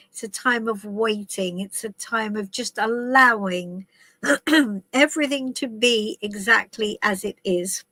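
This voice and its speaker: Woman, stuffy with a cold, tarot reader doing live chat. Slightly high, slow and meandering. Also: fairly bright tone, no room sound. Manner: chatty and rambling, with many ums and restarts. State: has a head cold, stuffy and coughing. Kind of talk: casual live-stream chat and card reading. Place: in the woods while travelling.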